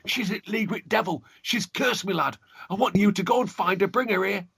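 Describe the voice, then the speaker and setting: A man voicing an old male peddler, frantic and shouting in broad northern dialect. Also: sits high, brisk, gravelly, slightly rough, dry recording, studio quality, pitched above the performer's own voice, exaggerated voice.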